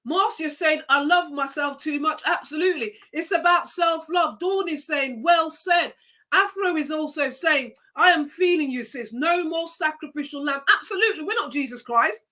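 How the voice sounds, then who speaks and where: Bright, emphatic woman host. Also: high-pitched, moderate pace. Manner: emphatic and preachy, repeats key phrases. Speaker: live show host. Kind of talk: live-stream motivational talk, reading viewer comments.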